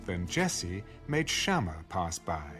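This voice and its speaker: A man narrating an audio Bible in a formal, measured storytelling style. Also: low-pitched, very animated, music in the background.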